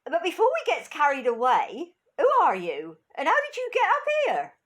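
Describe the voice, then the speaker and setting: A woman's voice: sits high, wildly swooping pitch, moderate pace, fairly bright tone, full character voice. A woman playing Ruth, a jolly old nursery maid in a comic pantomime, theatrical and jokey, recorded over a home video call. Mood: chatty curious. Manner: theatrical, jokey, chuckling, rustic turns of phrase.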